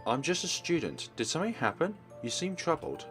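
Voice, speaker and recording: A man's voice: on the high side, very animated, brisk, over music, voice pushed up. A man voicing a blunt but helpful schoolgirl in a visual novel, with an offhand manner.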